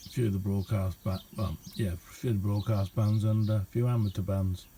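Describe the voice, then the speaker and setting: A middle-aged man, giving a casual, halting and unhurried hands-on demonstration as a radio hobbyist. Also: on the low side, steady tone, warm tone, a little hiss or hum. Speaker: radio hobbyist showing a homemade receiver. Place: indoor hobby radio room.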